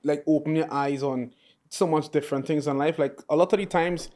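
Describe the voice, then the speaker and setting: A man hosting a podcast, asking friendly questions and chiming in with quick agreement. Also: on the high side, steady tone, darkish tone. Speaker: podcast host. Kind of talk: casual interview chat.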